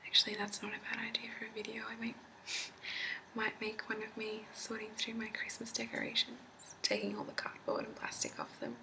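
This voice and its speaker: A woman in her twenties whispering a rambling ASMR chat, with a slightly deep whisper, recorded on a laptop in a candlelit room at home. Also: sing-song, a little fast, gravelly. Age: 20s. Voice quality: slightly deep whisper, not soft-spoken. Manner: rambling, chatty, laughs often. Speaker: ASMR creator. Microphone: laptop mic. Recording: grainy noisy laptop recording.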